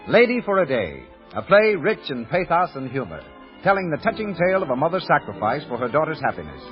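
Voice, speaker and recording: A male American announcer in the 1930s radio manner, polished and emphatic as he sells. His pitch moves around a lot, over music, on a muffled vintage broadcast recording. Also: very animated, fairly quick.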